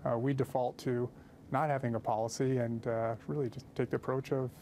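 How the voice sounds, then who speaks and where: An American man, a company president, giving unscripted interview answers in a hesitant, halting way. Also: medium pitch, level tone, mellow, a little hiss or hum.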